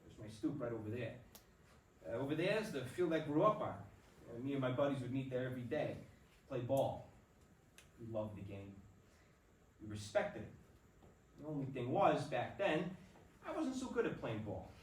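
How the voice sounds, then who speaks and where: A man's voice: mid-range voice, lively intonation, normal speed, pauses often, lightly acted. A man playing an earnest, boyish New York ballplayer in a staged play reading. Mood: proud, nostalgic. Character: New York ballplayer, proud narrator and insecure teenage son. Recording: echoey room, far mic, faint noise.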